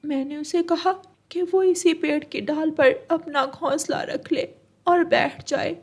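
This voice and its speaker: A woman's voice: sits high, fairly even intonation, slow pace, darkish tone, pitched above the performer's own voice. A woman voicing a grieving, trusting mother myna bird in a cartoon, speaking in a plaintive, tearful way.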